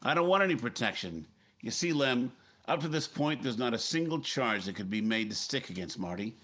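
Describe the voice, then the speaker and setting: A man's voice: medium pitch, expressive, a little fast, fairly bright tone, slight background noise, natural voice. A man playing a determined, fatalistic newspaper editor, terse and matter-of-fact, in a 1950s-style radio drama. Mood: resolute calm.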